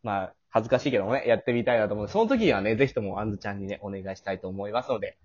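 A man's voice: fairly low pitch, expressive, racing, darkish tone. A man hosting a casual cast chat in a teasing, jokey manner. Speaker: host of a radio drama cast talk. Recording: muffled lo-fi audio.

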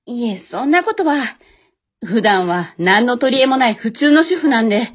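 Woman as humble housewife YouTuber, polite, hesitant, higher-pitched voice, amateur radio drama, dull lo-fi sound. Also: animated, moderate pace. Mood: modest, pleased. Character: modest, earnest housewife YouTuber losing heart, speaking politely.

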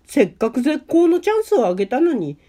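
A woman's voice: high voice, sing-song, fairly quick, dark tone. A woman playing a nonchalant, teasing teenage schoolgirl who plays cupid in an amateur radio drama. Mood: amused, resigned.

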